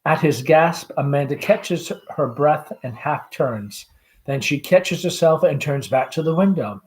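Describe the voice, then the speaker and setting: A school principal reading a play aloud, narrating stage directions in a measured, deliberate, expressive way. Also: medium pitch, poor audio.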